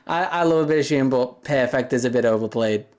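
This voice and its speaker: A young man with a deep voice talks loosely to his viewers on a livestream. He rambles from one thought to the next and breaks into laughter often. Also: moderate pace, a little mellow. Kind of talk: casual chat with viewers.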